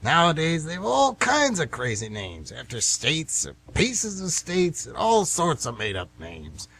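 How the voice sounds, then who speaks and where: A man's voice: a little high, huge pitch swings, unhurried, creaky, a bit bright, husky, full character voice. A man playing a folksy, nostalgic older baseball fan who rambles emphatically in an amateur radio play. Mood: enthusiastic, rambling.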